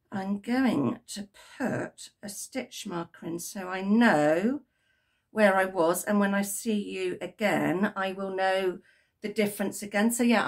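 A middle-aged British woman, croaky from a cold, chatting to camera in her home craft room about her crochet project, rambling with ums and corrections. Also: medium pitch. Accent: British English. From United Kingdom. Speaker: crochet vlogger. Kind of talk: casual chat to camera. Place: home craft room with yarn shelves, indoors. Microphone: webcam at desk, framed from the chest up.

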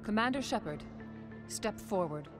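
A woman's voice: mid-range voice, lively intonation, normal speed, over music, close to an everyday voice. An actress playing a dignified, serene female alien councilor in a sci-fi game, speaking in a composed, ceremonial way. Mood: solemn. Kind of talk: formal sci-fi game dialogue.

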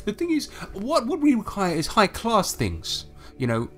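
A man's voice: high voice, wildly swooping pitch, moderate pace, a bit bright, faint music behind, voice pushed up, heavily acted. A man voicing a fussy, snobbish pub landlord in a tabletop game, prim and chatty.

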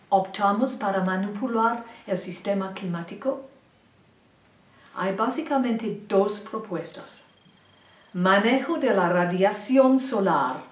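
Slow, careful older woman, roomy sound. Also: medium pitch, recorded from a distance. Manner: careful, deliberate non-native phrasing. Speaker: presenter. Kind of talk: slide lecture read point by point. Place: small indoor room with a plain wall. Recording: roomy echo, mic set back.

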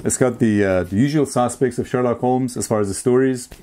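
A man in his forties chatting casually to camera as a vlogger, with an enthusiastic, appreciative and somewhat halting delivery. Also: medium pitch, dark tone. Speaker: vlogger showing a music and tape collection. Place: small home room, indoors.

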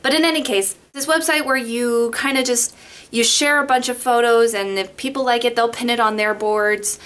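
A woman's voice: fairly high pitch, fairly even intonation, normal speed, a bit bright. A young woman from Las Vegas, chatty and rambling, talking through a makeup tutorial into a webcam in her bedroom. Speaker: beauty vlogger. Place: bedroom at home. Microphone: webcam in front.

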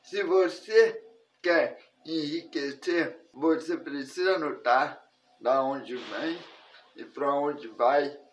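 Slow-paced Brazilian man, higher-pitched voice. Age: 30s. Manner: slow, halting delivery in short phrases. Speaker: personal finance instructor. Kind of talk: online video lesson spoken to camera. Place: indoors in a furnished room at home.

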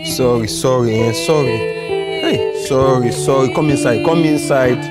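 A lively-pitched, flustered Nigerian neighbour. He soothes and coaxes, stammering and repeating his words, in a comedy drama with loud music behind. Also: a little slow. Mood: soothing, flustered. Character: flustered, soothing neighbour consoling a crying child.

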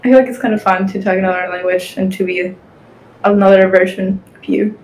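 A young woman with a Spanish accent chats over a video call in her own home, quick and fill-heavy, dropping "like" everywhere. Her voice sits at an ordinary middle pitch, relaxed and conversational. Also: low-quality recording. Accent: Spanish-speaking. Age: young adult. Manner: chatty, fast, many "like" fillers. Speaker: guest. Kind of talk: casual interview chat. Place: home room with city windows.

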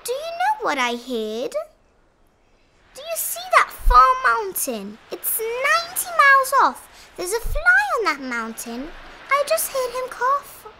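A woman's voice: very high-pitched, wildly swooping pitch, a little slow, very noisy, pitched above the performer's own voice, exaggerated voice. A woman voicing a boastful, self-important male rabbit from a children's storybook, in an emphatic bragging manner. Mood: triumphant. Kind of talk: animated children's storybook character reading.

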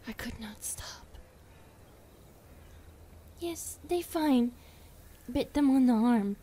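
Woman playing a soft, slow, childlike skinwalker girlfriend. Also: on the high side, expressive, a little hiss or hum. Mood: apologetic, eager. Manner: halting broken English, soft and often whispered. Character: shy, childlike, affectionate female skinwalker girlfriend with feral hunger. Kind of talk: ASMR roleplay.

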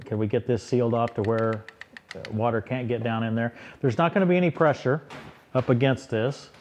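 A man's voice: mid-range voice, a little fast, a little mellow, muffled recording, slight background noise. Middle-aged man thinking aloud in a workshop. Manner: thinking aloud, hesitant restarts. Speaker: tractor repair channel host. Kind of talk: casual workshop explanation to camera. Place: workshop beside a tractor, indoors.

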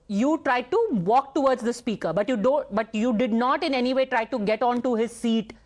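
A woman's voice: a little high, moderate pace, a little mellow. A woman TV news anchor interviewing a politician, asking persistent, pointed questions.

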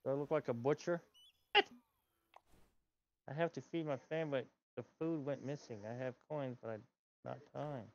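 A man's voice: medium pitch, steady tone, normal speed, long pauses, dull audio. A middle-aged man streaming a game from home, grumbling and talking to himself as he plays. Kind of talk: casual gameplay chat. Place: home gaming room, indoors.

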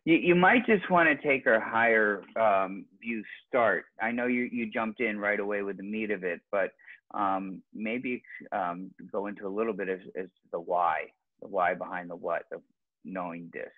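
Low man on a tinny call line. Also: moderate pace, no echo. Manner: hesitant, gently suggesting. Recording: tinny dry phone-like call audio.

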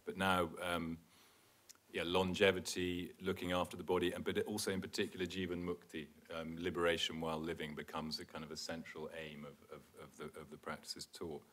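A middle-aged man with a very low voice, speaking at a table microphone on a lecture theatre stage. He thinks aloud, stopping and restarting with fillers as he searches for the right term. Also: flat delivery, a little fast, a bit bright. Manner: hesitant, with many fillers and self-corrections. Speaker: panel speaker, scholar. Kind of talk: academic panel discussion.

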